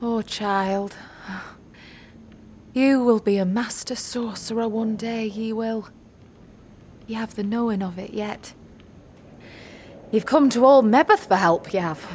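A woman acts out a kindly old midwife witch from a fantasy audio drama. She takes her time over every folksy, old-fashioned phrase, cagey and knowing, in a fairly high voice. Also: slow, pauses often, a little mellow, slight background noise. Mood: gentle, knowing. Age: older. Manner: folksy archaic phrasing, repeating her words.

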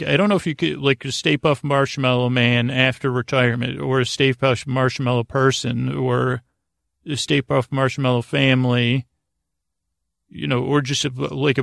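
A man with a creaky voice hosting a sleep podcast, rambling in a halting, meandering, self-deprecating way and drifting into tangents. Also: mid-range voice, a bit bright, dry recording, clean studio sound. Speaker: podcast host.

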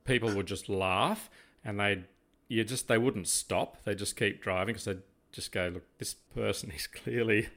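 An older Australian man with a fairly low voice speaks in casual podcast conversation. His phrasing is wordy and deliberate, with plenty of qualifiers as he builds each point. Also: fairly even intonation, a bit bright. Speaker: podcast co-host, former police officer.

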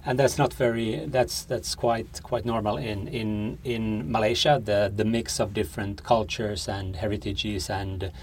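A man's voice: on the low side, steady tone, unhurried, few pauses, a little hiss or hum. A man hosting an interview podcast, presenting and putting questions to his guest.

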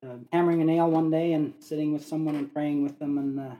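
Interview guest: middle-aged male, Newfoundland accent, warm relaxed tone, pitched a bit high, reflective delivery. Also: quick pace, dull audio, slight background noise. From Newfoundland, Canada. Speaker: interview guest, ministry worker. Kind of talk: casual interview, sharing personal testimony.

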